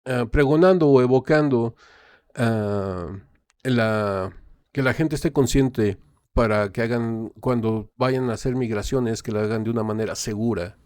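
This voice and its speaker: A man's voice: medium pitch, darkish tone, clear, resonant tone, no room sound. Dry-sounding man. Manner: hesitant, filling pauses with "eh" and "este" while translating. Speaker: reaction channel host. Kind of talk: casual live translation and commentary.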